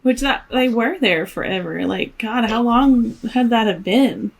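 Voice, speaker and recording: A woman's voice: on the high side, unhurried, gravelly. Wry thirty-one-year-old woman chiming in. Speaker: podcast co-host. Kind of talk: casual chat.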